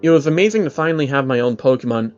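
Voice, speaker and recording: A man's voice: mid-range voice, expressive, racing, a little mellow. A man reading a scripted, dramatic story as narrator, on a slightly dull lo-fi recording with music behind him. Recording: dull lo-fi audio under music.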